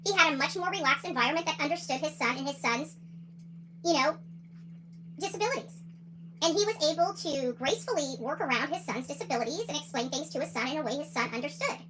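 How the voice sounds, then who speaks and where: Lady ranting on a livestream, high voice, talks really fast, fired up and repeats herself, bit of background noise. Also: bright, forceful tone, rough recording. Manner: combative, indignant, emphatic; repeats phrases for emphasis. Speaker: livestream host. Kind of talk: opinionated livestream commentary on court cases.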